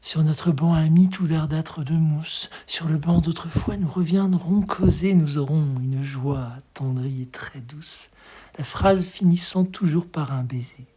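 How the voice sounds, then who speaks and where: A hushed, breathy voice giving an expressive, tender recitation of poetry in a measured way. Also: mid-range voice. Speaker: poetry reader.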